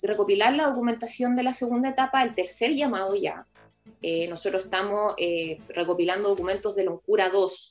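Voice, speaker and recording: Chilean woman explaining over faint music. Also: medium pitch. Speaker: municipal project official being interviewed. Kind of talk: informal explanatory radio interview.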